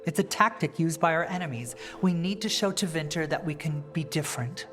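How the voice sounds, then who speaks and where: A woman playing a principled female politician and rebel leader in a fantasy video game, measured, firm and earnest. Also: sits low, fairly bright tone, faint music behind. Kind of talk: dramatic video game dialogue acting.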